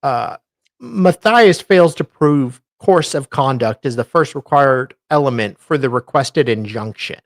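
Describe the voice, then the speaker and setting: A man's voice: medium pitch, lively intonation, a little slow, no room sound, studio quality. A man co-host reading formal text aloud, stumbling now and then over the words.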